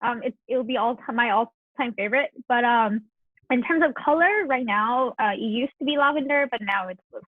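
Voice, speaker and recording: A woman's voice: a little high, moderate pace, no room sound. A woman in her twenties from South Korea with a Korean accent, chatting casually as a podcast guest. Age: 20s. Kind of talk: casual chat. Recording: tinny, phone-like line, dry.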